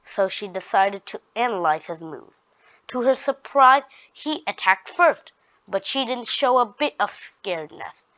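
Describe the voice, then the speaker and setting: Slow, halting woman storyteller. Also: medium pitch, animated, a bit bright, no room sound. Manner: halting, with frequent restarts and repeated words. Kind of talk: reading her own story aloud. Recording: dull, dry lo-fi recording.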